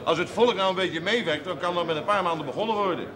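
An actor playing an excitable village man in a Dutch period TV drama, talking in a colloquial, animated way. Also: sits high, quick pace, natural voice. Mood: eager, gossipy. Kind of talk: 1970s Dutch television period drama acting. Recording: TV soundtrack amid loud crowd murmur.

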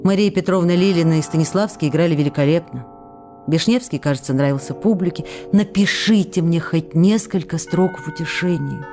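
Female performer as young stage actress, radio drama, warm dark tone, emotional, candid, intimate expressive reading, soft background music. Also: fairly low pitch, brisk, natural voice. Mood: anguished, dejected.

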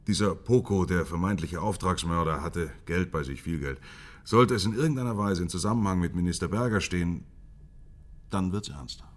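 A low, husky male voice as a principled police inspector in a naturalistic radio drama. He lays out facts in a measured way and holds his ground insistently. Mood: measured, factual. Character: dogged male police chief inspector briefing politicians, principled.

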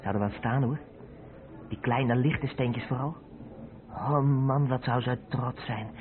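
A man acting an eager, naive young driver's mate in a Dutch radio play, boyish and excitable. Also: medium pitch, slow, close to an everyday voice. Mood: dreamy, wistful. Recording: tinny old radio recording, busy café noise.

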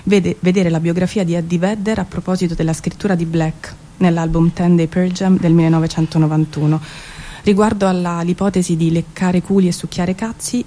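A woman's voice: fairly low pitch, warm tone. A woman giving a melancholic, introspective, theatrical spoken-word reading of poetic prose, as a stage performer.

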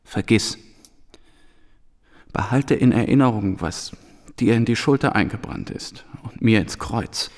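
An actor playing a war-weary returned soldier and teacher in a radio drama, sober, terse and earnest. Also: male voice, fairly low pitch, a little slow, long pauses, lightly acted. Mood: earnest, concerned.

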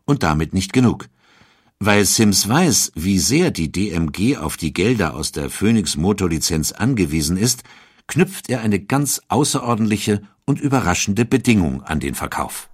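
Low, husky male documentary narrator. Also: very animated, moderate pace, dry recording, clean studio sound. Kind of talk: scripted documentary audiobook narration.

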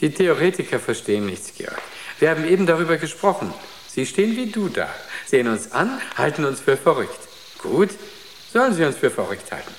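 An actor playing a serenely self-assured, fanatical artist in a radio drama, declaiming loftily and deliberately. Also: male voice, fairly high pitch, sing-song, a little hiss or hum. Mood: defiant pride.

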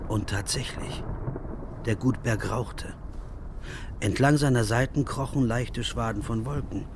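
An actor playing a traveling writer who narrates his journey in a measured, descriptive way in a radio drama. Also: mid-range voice, noisy background, natural voice. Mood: calm, observant.

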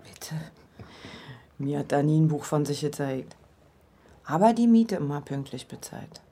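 A forthright widowed landlady in a radio play. Her voice is low and husky with a gravelly creak, and she speaks in a close, intimate way. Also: expressive, measured pace, warm tone, exaggerated voice. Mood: confiding. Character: forthright widowed landlady, fond of rum tea.

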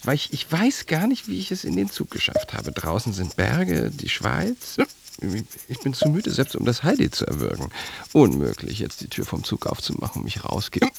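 An actor playing a weary, despairing, darkly wry man in a halting radio play monologue. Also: mid-range voice, wildly swooping pitch, creaky, a little hiss or hum. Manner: halting, self-correcting.

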